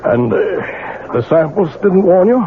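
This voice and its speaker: An actor playing an obsessed, guilt-ridden, irritable man in a 1970s radio mystery drama, theatrical and halting under questioning. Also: high voice, lively intonation, background noise. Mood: uneasy. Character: obsessed, guilt-ridden, irritable male clockmaker narrating his own tale. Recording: old radio broadcast, narrow band, loud music.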